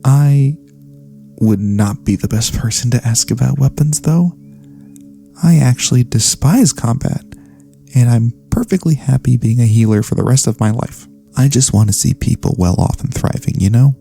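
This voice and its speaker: A gentle, caring elf cleric voiced by a man speaks softly in an ASMR fantasy roleplay. His voice is mellow and relaxed with a crackly, gravelly edge. He is courteous and a little formal, soothing the listener over faint music. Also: medium pitch, normal speed, lightly acted. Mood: calm, kindly. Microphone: close studio USB microphone.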